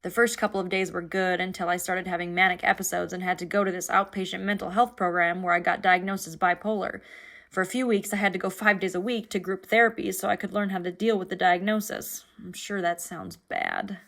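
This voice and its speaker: A woman's voice: lowish voice, fairly even intonation, a little fast, creaky, fairly bright tone. A woman playing an anxious young cartoon passenger who overshares in a rambling, candid, self-deprecating, naturalistic way. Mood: candid, rueful. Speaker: passenger in an animated series. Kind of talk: naturalistic confessional conversation.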